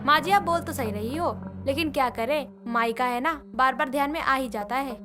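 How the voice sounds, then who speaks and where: A woman voicing a cheeky young daughter-in-law with polite excuses in an animated moral story. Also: high-pitched, normal speed, natural voice. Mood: mock-apologetic. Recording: music behind the voice.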